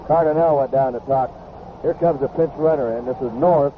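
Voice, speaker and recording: A man's voice: medium pitch, brisk, phone-quality sound. A man calling a baseball game live as a play-by-play announcer on an old radio broadcast. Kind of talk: live sports commentary. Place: ballpark broadcast booth. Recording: noisy band-limited vintage radio broadcast. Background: loud ambient ballpark noise.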